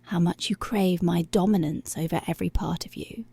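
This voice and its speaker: Seductive, controlling policewoman played by a woman for ASMR roleplay: mellow, creaky, half-whispered, teasing, close-miked. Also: lowish voice, steady tone, moderate pace. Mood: commanding, teasing. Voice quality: breathy, often slipping into whisper. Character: dominant, commanding, seductive policewoman. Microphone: close microphone.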